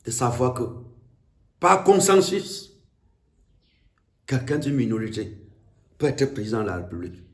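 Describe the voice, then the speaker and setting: A man with a Cameroonian accent giving an emphatic, declamatory political speech, with deliberate pauses. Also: mid-range voice, sing-song. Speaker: political speaker. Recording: echoey room.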